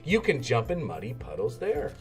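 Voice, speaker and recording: A man voicing a calm, sleepy and gently firm mother pig in a children's storybook reading. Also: medium pitch, moderate pace, faint music behind. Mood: sleepy, gentle.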